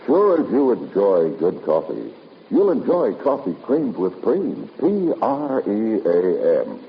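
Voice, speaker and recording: A man's voice: fairly low pitch, huge pitch swings, slow pace, creaky. A man announcing a 1950s radio commercial with a polished, emphatic sales delivery. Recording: muffled vintage radio recording with noise.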